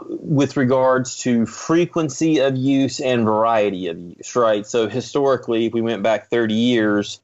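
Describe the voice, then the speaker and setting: Man explaining, slightly dull audio. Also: mid-range voice. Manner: measured, careful qualifying. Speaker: interview guest, psychology professor and researcher. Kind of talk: explanatory interview talk.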